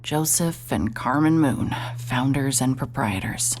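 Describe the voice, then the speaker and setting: A young woman narrating a horror audio drama in first person, tense and breathless, dropping to whispers and breaking into sudden shouts. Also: a bass voice, animated, unhurried. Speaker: narrator and lead character.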